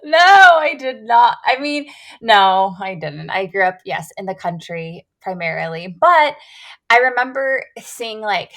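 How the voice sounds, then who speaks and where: Female podcast guest, Californian accent, slightly low voice, casual conversational storytelling. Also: a bit bright. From Central Valley, California. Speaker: podcast guest, life coach.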